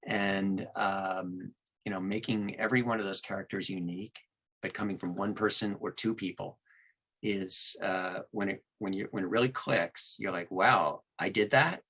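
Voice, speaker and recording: A man's voice: lowish voice, normal speed, sharp tone, poor audio. A man who narrates and produces audiobooks, talking conversationally as a podcast guest. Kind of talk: conversational interview talk.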